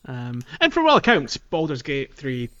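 A man co-hosting a casual gaming podcast, speaking in a halting way as he thinks aloud. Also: mid-range voice, huge pitch swings, fairly bright tone. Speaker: podcast co-host. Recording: dull, band-limited voice recording.

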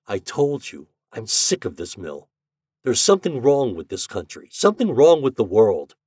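A man voicing a determined male propagandist writer who talks in a forceful, snapping way. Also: medium pitch, wildly swooping pitch, normal speed, breathy edge, dry recording, studio quality, voice pushed up.

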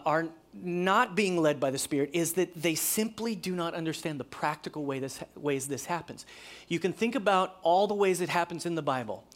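A man, a pastor preaching a sermon, who tells stories with lively energy, his voice climbing when excited, and repeats key phrases for emphasis. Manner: animated storytelling, voice climbing high when excited.

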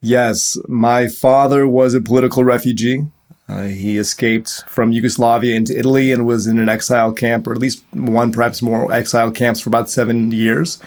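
A man from a suburb of Cleveland, Ohio, giving calm, measured, reflective answers as an interview guest. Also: mid-range voice.

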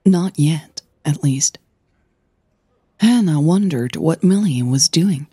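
A woman narrating scripted fiction in a measured, intimate storytelling manner. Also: deep voice, mellow, husky, no room sound, clean studio sound. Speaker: audio drama narrator.

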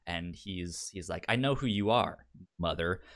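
Stuttering man with a low-pitched voice. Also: a little slow, fairly bright tone. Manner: stutters, repeating sounds and syllables. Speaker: podcast co-host. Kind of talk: casual discussion of a TV show.

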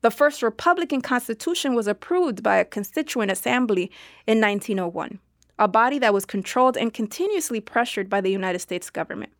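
A woman reading a translated interview answer as a voice-over, in a measured, formal and explanatory manner. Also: fairly high pitch, lively intonation, no echo, clean studio sound. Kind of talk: scripted translated narration of historical analysis.

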